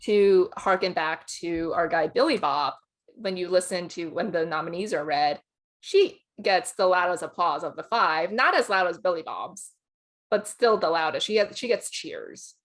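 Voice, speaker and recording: Female podcast co-host, slightly low voice, informal awards-commentary chat. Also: normal speed. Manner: laughs often while talking. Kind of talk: casual film awards chat.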